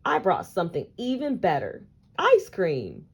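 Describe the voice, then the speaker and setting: Lady doing a happy wise auntie from a kids book, upbeat, slow and punchy, very animated. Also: on the low side, slightly rough, exaggerated voice. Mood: gleeful. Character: cheerful, wise aunt offering a treat. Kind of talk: storybook character voice.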